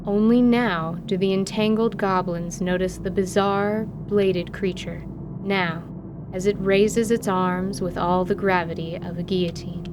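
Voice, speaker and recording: A woman reading scripted narration for a fantasy audio drama in a measured storyteller's manner. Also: medium pitch, steady tone, background noise.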